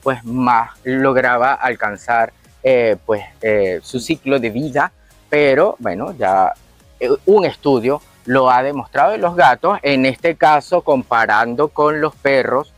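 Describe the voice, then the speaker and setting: A man's voice: medium pitch, takes it slowly, dry recording, faint music behind. A man co-hosting a show, reading a segment and chatting with hesitant fillers when speaking freely. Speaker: show co-host. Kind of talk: reading segment, casual chat.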